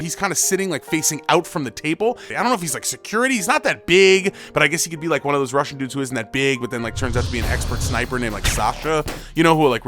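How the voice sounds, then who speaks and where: A man's voice: mid-range voice, sharp tone. An American man telling a comic anecdote in casual, slangy, filler-heavy talk, acting out bits of dialogue as he goes. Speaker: storyteller, host of a story series.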